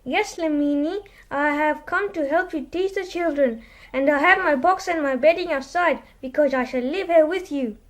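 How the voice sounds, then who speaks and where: A woman's voice: sits high, moderate pace, lightly acted. An actress voicing an earnest, chatty young boy in a radio drama. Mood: eager.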